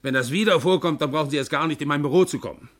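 An actor playing a stern, irritable company boss, brusque and reproachful, in a 1960s radio drama. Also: male voice, on the high side, rapid-fire, close to an everyday voice. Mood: angry, scolding. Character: stern, irritable male boss of a haulage firm.

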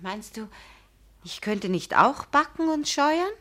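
A woman's voice: high-pitched, very animated, slow pace, a bit bright, exaggerated voice. A woman playing a proud, passionate young fiancée in a radio drama, speaking emphatically and with dramatic feeling. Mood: pleading, tender. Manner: emphatic, dramatic, swinging from indignant to tender. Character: proud, passionate young fiancée pleading with her pastor beloved. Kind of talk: German radio drama acting.

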